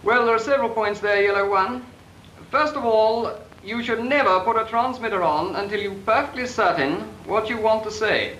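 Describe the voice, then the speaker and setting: A man instructing trainees in radio procedure, brisk and corrective, in 1940s training film dialogue. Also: sits high, a bit bright. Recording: echoey 1940s film soundtrack with hiss.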